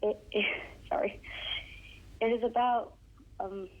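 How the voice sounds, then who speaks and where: A woman's voice: mid-range voice, fairly even intonation, slow pace, darkish tone, dull audio. A young girl, a Russian speaker at home, giving shy, hesitant short answers in a radio interview. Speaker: young singer guest.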